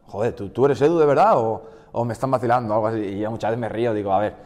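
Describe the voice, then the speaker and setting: A man's voice: fairly low pitch, racing, big-room sound. A man in his twenties, raised in the Basque Country, talking casually as an interview guest with many restarts, speaking Spanish with a slight Basque accent. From Portugal, raised in the Basque Country. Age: 20s. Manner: relaxed, frequent restarts and fillers. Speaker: guest footballer.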